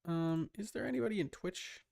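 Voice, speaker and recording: Higher-voiced man streaming, creaky. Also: brisk, a little mellow. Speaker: livestreamer. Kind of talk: casual live gaming commentary.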